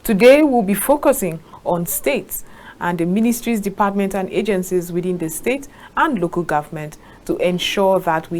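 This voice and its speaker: Measured woman hosting a talk show. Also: fairly low pitch, a little mellow, a little hiss or hum. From Nigeria. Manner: measured, formal introductions.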